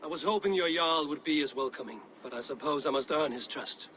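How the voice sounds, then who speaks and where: An actor playing a bold, proud Viking warrior in a dramatic game cutscene. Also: male voice, a little high, a little fast, pushed and bright, a little hiss or hum. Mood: measured, proud.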